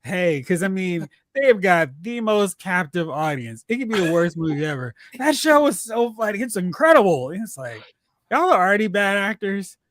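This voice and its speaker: A man's voice: sits high, big pitch swings, moderate pace, a bit bright, dry recording. A man hosting a movie talk show, chatting casually and jokingly about films and recapping news.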